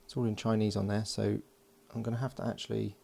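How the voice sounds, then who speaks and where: Gravelly low-voiced man at a workbench. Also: fast. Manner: relaxed, thinking aloud with many fillers. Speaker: electronics hobbyist. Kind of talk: casual build narration. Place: home workbench, indoors.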